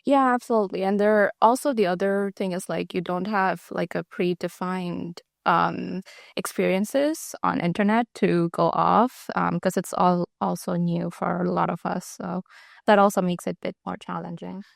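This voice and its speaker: A woman's voice: on the low side, steady tone, moderate pace, creaky, no room sound, clean studio sound. A young adult woman co-hosting a casual work chat, hesitant with lots of ums and likes. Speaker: co-host, marketing ops.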